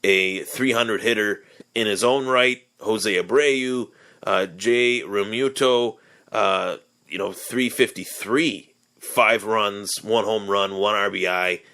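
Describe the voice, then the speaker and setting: Young man hosting a podcast solo, a bit hoarse and raspy, lowish voice, loose and rambling. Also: slow, sharp tone. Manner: rambling, with many fillers and restarts. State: hoarse from yelling. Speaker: podcast host. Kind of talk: casual solo sports podcast.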